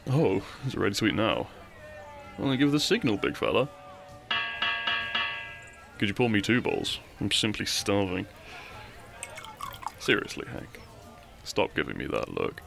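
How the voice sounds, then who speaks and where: Man playing a low, gravelly lovestruck bandit. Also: huge pitch swings, unhurried, long pauses, over music, heavily acted. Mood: flustered, teasing. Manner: soft, conversational, with sighs and chuckles. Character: lovestruck, cheeky young male bandit outlaw, tender and self-deprecating. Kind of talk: intimate romantic audio roleplay.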